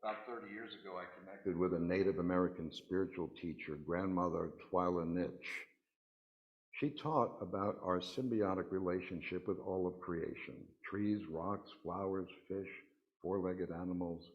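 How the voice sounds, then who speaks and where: Deep-voiced man reading, dull recording. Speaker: congregation member reading a vignette. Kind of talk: reading a personal reflection aloud at a worship service. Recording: dull, muffled lo-fi sound.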